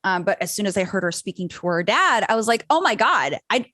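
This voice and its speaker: A woman's voice: medium pitch, very animated, fairly quick, bright, forceful tone, no room sound. Panelist, woman from South Korea, native Korean speaker, enthusiastic and chatty, dry mic. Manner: enthusiastic, chatty, self-aware. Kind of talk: casual panel discussion.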